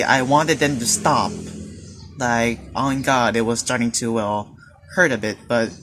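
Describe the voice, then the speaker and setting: A teenage Filipino man telling a personal story in a hesitant, halting way, with many fillers and restarts. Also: mid-range voice, noisy background. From Philippines. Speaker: student. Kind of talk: personal confession monologue.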